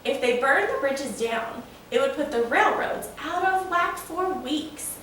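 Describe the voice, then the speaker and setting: A proud, folksy old steam engine from a children's storybook, played by a woman, eagerly spins a tale. The delivery is animated and fairly high-pitched. It sounds distant in a very reverberant room. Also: full character voice. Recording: echoey room, distant mic, faint noise.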